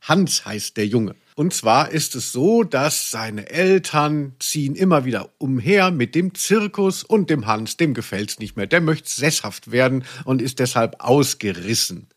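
A man's voice: medium pitch, expressive, unhurried, hardly any pauses. A man co-hosting a podcast, casually commenting on audio plays in a wry, mock-serious way. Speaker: podcast co-host.

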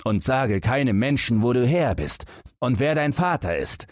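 A man's voice: medium pitch, lively intonation, moderate pace, dry recording, clean studio sound, voice pushed up, natural voice. A weary, disapproving father from a fairy tale, voiced by a male reader. He scolds sternly in a raised, exasperated tone, ashamed of his son. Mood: resigned, ashamed.

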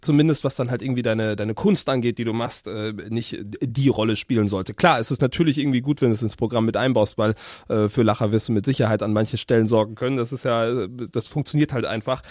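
A man's voice: mid-range voice, fast, darkish tone, no room sound, clean studio sound. A man hosting a podcast, putting casual questions to a guest in a hesitant, roundabout way. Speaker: podcast interviewer, host. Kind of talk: casual conversational interviewing.

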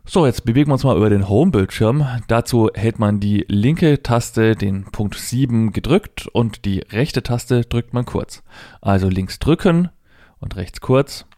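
A man's voice: medium pitch, unhurried, dark tone, dry recording, clean studio sound. A man presenting a hands-on product demonstration, explaining informally step by step and thinking aloud as he goes. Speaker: presenter demonstrating assistive technology.

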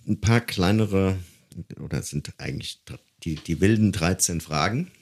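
A man's voice: low-pitched, normal speed, darkish tone. A man hosting a casual interview, asking questions in a conversational way. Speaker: podcast host.

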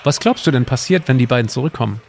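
A man's voice: medium pitch, lively intonation, fast talker, creaky, a little hiss or hum. A man hosting a semi-scripted audio play, explaining things to a companion and to listeners. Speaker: podcast host and co-creator of an exhibition audio play.

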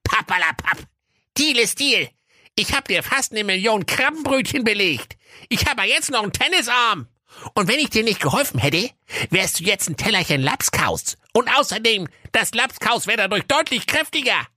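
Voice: medium pitch, very animated, moderate pace, pushed and bright, no echo, studio quality, exaggerated voice. A performer playing a small, ugly, wicked gnome doctor, bossy and gloating, in a comic fairy tale audio play. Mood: bossy, scolding. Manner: pushy, scolding.

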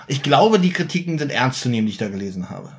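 A man's voice: medium pitch, very animated, quick pace. A man in casual podcast chat, speaking in a hesitant manner. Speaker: podcast host.

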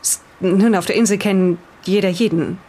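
Someone voicing an earnest, defensive island resident in an improvised radio play, speaking deliberately as if reading aloud. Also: mid-range voice, fairly even intonation, mellow, close to an everyday voice. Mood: hesitant recalling.

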